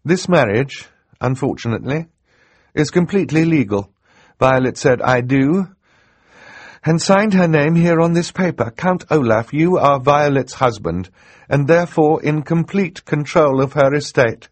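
A man's voice: a little high, animated, unhurried, no room sound, voice pushed up. A man voicing a kind, earnest woman judge in an audiobook. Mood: reluctant. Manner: earnest, pleading.

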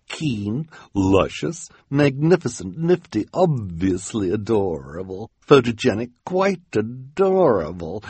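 Storybook villainess played by a man: vain, glamorous, affected, stretching words out slowly, lifted pitch with a husky edge. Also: no room sound, full character voice. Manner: drawn-out, affected, flattering delivery. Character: vain, gushing villainous woman in glamorous dress.

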